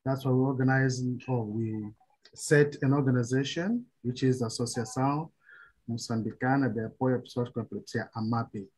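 A man's voice: mid-range voice, fairly even intonation, a little fast, a little mellow, husky, rough recording. A man from Mozambique, a Portuguese native speaker with a Mozambican accent, speaking careful, hesitant English as an epilepsy advocate on a video call. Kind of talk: online meeting talk.

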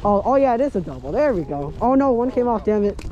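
A man giving excited, casual running commentary as he fishes, talking to the fish and to his friends. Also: high-pitched, fast talker, lo-fi sound, crowd noise. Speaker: fishing vlogger. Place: outdoors on a wooden fishing pier by the sea. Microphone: head-mounted action camera. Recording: outdoor noise on an action camera.

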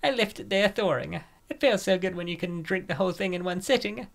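Woman playing scheming, coaxing gym girl, gravelly edge. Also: fairly low pitch, lively intonation, brisk. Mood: smug. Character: scheming, gluttonous young gym woman, falsely sweet. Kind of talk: comic dub character voice.

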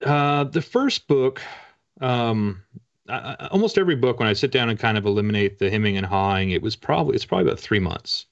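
A man in his forties chatting casually as an interview guest, halting and wry in a self-deprecating way. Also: mid-range voice, animated. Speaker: guest, author. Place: indoor home room with bookshelves. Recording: dull, lo-fi video call audio.

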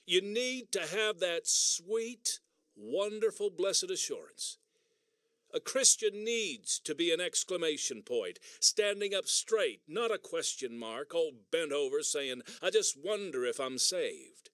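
Emphatic preacher, high voice, dry room. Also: male voice, lively intonation, takes it slowly, a bit bright, no echo. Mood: preaching. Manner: preaching cadence. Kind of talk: radio drama sermon.

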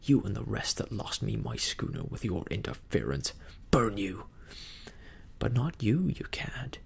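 A man voicing a crafty, domineering pirate sea cook in a storybook, taunting in rough nautical dialect with a husky, gravelly voice. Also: fairly low pitch, wildly swooping pitch, unhurried, whispered, voice pushed down, full character voice. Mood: angry, threatening.

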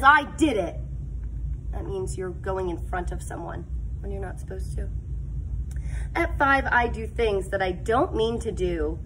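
A woman's voice: on the high side, slight background noise, poor audio. A middle-aged woman, a kindergarten teacher, reading a picture book aloud expressively with asides to the children, stretching her words. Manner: animated, deliberate delivery, stretching words for young children. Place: indoors, school classroom.

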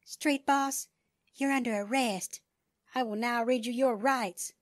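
Casting: commanding policewoman , voiced by a man, high pitch, unhurried, audiobook. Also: steady tone, no echo, studio quality. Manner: firm, commanding. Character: stern brave female police officer. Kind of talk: audiobook superhero dialogue.

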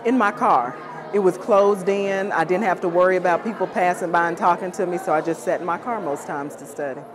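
A woman's voice: fairly low pitch, brisk, a crowd in the background. A woman from Arkansas giving an interview testimonial as a law graduate. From Arkansas, USA. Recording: music behind the voice.

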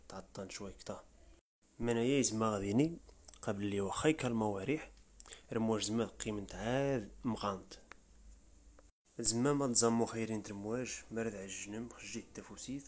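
Fast-talking Riffian man explaining. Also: on the low side. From Rif region of Morocco. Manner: brisk, informal, step-by-step explaining. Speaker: tutorial presenter. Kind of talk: explanatory voice-over tutorial. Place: voice-over, not the pictured scenes.

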